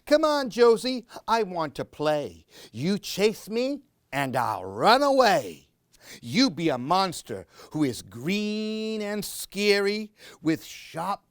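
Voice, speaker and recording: A woman's voice: on the low side, very animated, takes it slowly, dry recording, pitched above the performer's own voice, full character voice. A woman reading aloud as a playful three-year-old boy, chanting bouncy rhymes. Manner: sing-song, bouncy rhymes. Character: playful little boy pretending, aged three.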